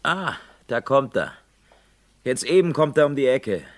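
A man's voice: medium pitch, big pitch swings, measured pace. A jittery male reporter in an old radio thriller. His voice sits in the middle range and he stumbles over words, repeating phrases as his nerves show. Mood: dry, subdued reporting. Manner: nervous, stammering, repeats himself. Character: jittery, excitable male newspaper reporter phoning from an execution. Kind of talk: vintage German radio crime drama acting.

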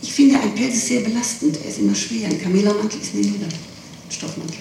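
A woman's voice: medium pitch, fast, vocal fry, dark tone. A woman answering questions in an interrogation, defensive and insistent, often repeating herself. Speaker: interrogated witness. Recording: distant, very echoey, noisy archival tape.

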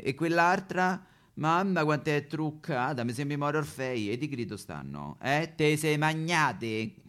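A male actor puts on a Roman streetwalker, a bitter, lonely woman on a theatrical stage monologue. The delivery is coarse and confrontational, full of slang. The pitch swings widely from line to line. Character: brash, weary Roman female street prostitute, bitter and lonely.

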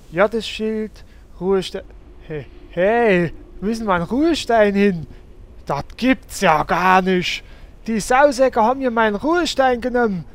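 A dim, blunt paladin man in a comedic fantasy audio drama. He talks slowly, blustering along with big swings in pitch. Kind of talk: comedic fantasy radio-play acting.

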